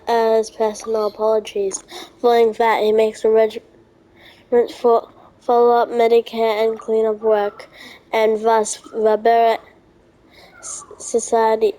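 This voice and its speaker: A woman commenting on a game playthrough, reacting and reading text aloud in a halting way. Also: a little high, dark tone, rough recording.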